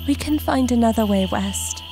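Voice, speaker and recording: A woman playing a solemn, ancient huntress in an audio drama, with formal, measured, archaic phrasing. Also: a little high, over music, lightly acted. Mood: grave, steady.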